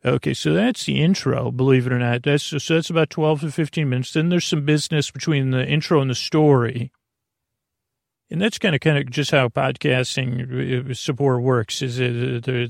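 A man hosting a sleep podcast in a casual, meandering monologue, halting and drifting off topic, with a gravelly creak in his voice. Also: medium pitch, no echo, studio quality. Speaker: podcast host. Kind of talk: rambling casual monologue to help listeners fall asleep.

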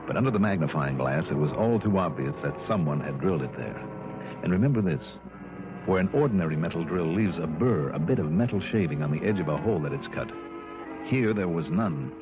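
A man's voice: low voice, animated, a little fast, phone-quality sound. A man with an American accent playing a shrewd, confident insurance investigator in 1960s radio drama style. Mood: probing, sure. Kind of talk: 1960s radio drama acting and first-person narration. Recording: band-limited old radio recording with music.

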